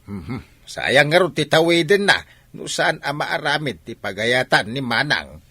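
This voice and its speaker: A man's voice: medium pitch, husky, dry recording, exaggerated voice. A man playing a wistful, grumbling older village husband in a radio comedy drama. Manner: expressive, drawn-out comic grumbling.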